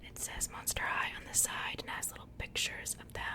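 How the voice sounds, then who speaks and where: Female ASMR host, breathy whisper throughout, casual and chatty unboxing commentary, unhurried delivery.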